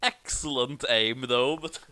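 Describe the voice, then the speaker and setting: A man's voice: mid-range voice, a little slow, bright, forceful tone. A man giving casual commentary in short, dry, hesitant interjections.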